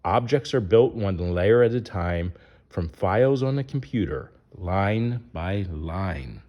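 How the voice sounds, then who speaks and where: Low-voiced man reading with lively swings. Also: takes it slowly. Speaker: narrator reading a picture book aloud. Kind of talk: scripted read-aloud of a rhyming children's book.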